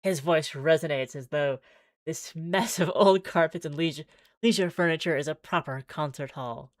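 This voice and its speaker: A woman's voice: low voice, moderate pace, dry recording, studio quality. A woman streaming a visual novel, reading the text aloud theatrically with wry, exclaiming asides.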